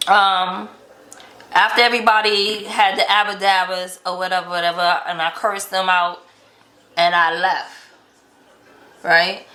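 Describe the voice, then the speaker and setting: A woman tells a casual story at an unhurried pace. Her voice is bright and forward, and she acts out the dialogue she quotes. The sound is echoey, as in an untreated room. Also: medium pitch. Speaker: vlog host telling relationship stories.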